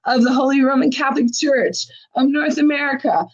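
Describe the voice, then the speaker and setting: A woman's voice: sits high, brisk, dull audio. A woman hosting a livestream fundraiser, losing her voice, urging viewers with urgent, exhorting talk. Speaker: livestream host.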